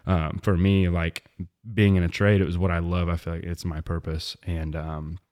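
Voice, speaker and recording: A young man from Missouri, a guest on a faith podcast, talking in a relaxed, rambling way with lots of fillers and restarts. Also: low voice, moderate pace. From southwest Missouri. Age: young adult. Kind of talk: casual faith podcast interview.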